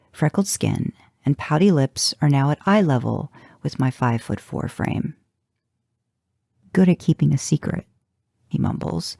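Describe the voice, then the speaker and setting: A woman reading a first-person story aloud in an expressive, wry and confiding way. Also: extremely low pitch, vocal fry, no room sound, clean studio sound. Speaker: podcast host reading fan fiction.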